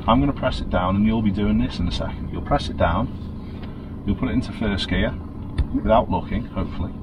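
A man's voice: on the low side, a little fast, dull audio. Patient male driving instructor. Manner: calm, encouraging, checks understanding often. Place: inside a parked car. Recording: noisy car interior.

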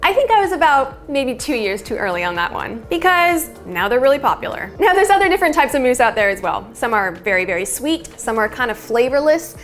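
A high-voiced woman in her thirties talks enthusiastically to camera from a small shop kitchen, explaining a drink recipe like a friendly tutorial host. Her tone is upbeat and chatty, and soft music plays under her voice. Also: sing-song, a little fast, hardly any pauses. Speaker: bubble tea shop owner and host.

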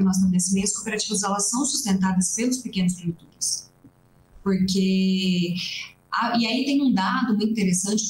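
A woman's voice: on the high side, slight background noise. A young Brazilian woman, a coffee farmer, earnestly explaining at length on a video call from a small room at home, with frequent restarts. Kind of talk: explanatory interview talk. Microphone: laptop webcam on a video call. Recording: roomy video-call audio.

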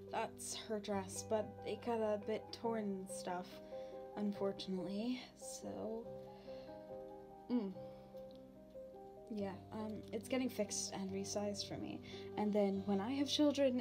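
A woman voicing a shy young demon princess in an ASMR roleplay, talking informally and haltingly to the listener. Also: medium pitch, steady tone, natural voice. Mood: chatty, excited. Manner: hesitant, halting, informal with long pauses. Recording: background music.

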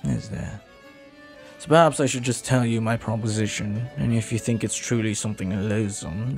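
A man playing a flirty, earnest pirate captain in an intimate audio roleplay, speaking softly and haltingly with tender devotion. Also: on the low side, creaky. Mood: playful, teasing. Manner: soft, halting, tender, sometimes near whisper.

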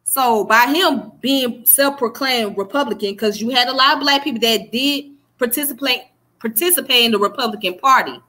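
A woman's voice: a little high, moderate pace, bright, forceful tone. A woman in her thirties with a Southern US accent, giving an emphatic casual livestream history talk to her viewers and checking in with them often. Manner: emphatic, preachy, keeps checking in with "okay?". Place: small room indoors. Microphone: webcam close up.

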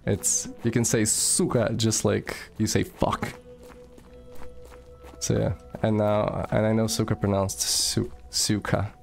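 A man's voice: on the low side, flat delivery, takes it slowly, gravelly, soft background music. A young man from Moldova, chatting casually while streaming a game, swearing freely and reading chat aloud. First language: Russian.

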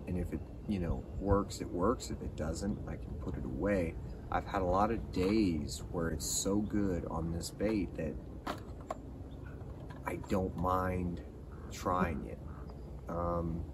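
A middle-aged man hosting a fishing channel, reviewing a lure to camera in a casual, rambling, enthusiastic way while sitting outdoors in a pine forest. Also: low voice. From California. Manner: relaxed, rambling, enthusiastic about gear. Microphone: camera at a distance. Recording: distant outdoor mic with background noise.

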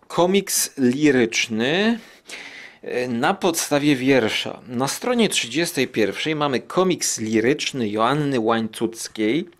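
A man hosting a podcast, reviewing things in a casual, hesitant and digressive way. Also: medium pitch. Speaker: podcast host.

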